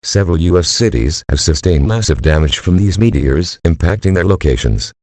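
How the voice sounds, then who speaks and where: A male synthetic-style voice as an impersonal emergency alert announcer, reading formal warnings in a flat, clipped manner. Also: deep voice, a little fast, no room sound. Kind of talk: scripted emergency broadcast announcement.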